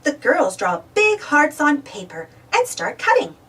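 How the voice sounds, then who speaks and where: A woman reads a children's storybook aloud at a slow pace, with a high voice and very animated intonation. She is recorded slightly away from the mic. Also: distant mic. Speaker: storybook narrator. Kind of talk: scripted children's read-aloud narration.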